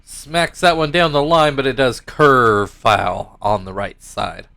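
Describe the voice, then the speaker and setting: A young man with a stuffed-up nose talks casually over a baseball video game. His middle-pitched voice slips between rambling, self-correcting asides and mock play-by-play calls. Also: fairly even intonation, takes it slowly, vocal fry, pushed and bright. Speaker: gaming YouTuber commentating his own baseball game league.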